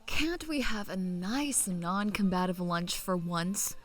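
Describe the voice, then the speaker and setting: A woman's voice: fairly low pitch, animated, slow pace. A woman playing an assertive young student who keeps the peace with a pointed, chiding manner. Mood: exasperated.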